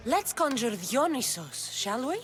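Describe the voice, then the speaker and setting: A tough mercenary warrior woman in a video game, dry and blunt. Her mid-pitched voice still moves with lively inflection, with faint music underneath. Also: unhurried. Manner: dry, blunt, matter-of-fact. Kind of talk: video game cutscene acting.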